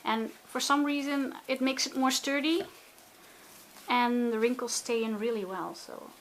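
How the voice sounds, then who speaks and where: A woman's voice: high-pitched, fairly even intonation, measured pace, slight background noise, rough recording. A woman casually narrating a craft journal flip-through, chatty and gushing, often talking to herself. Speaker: craft video maker. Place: indoors at a table.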